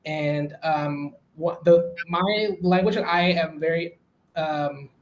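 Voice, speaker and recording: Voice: medium pitch, takes it slowly, a little hiss or hum, poor audio. Hesitant speaker. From Manila, Philippines. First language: Philippine Hybrid Hokkien. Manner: hesitant, many filler sounds. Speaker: academic presenter. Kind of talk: academic talk over slides.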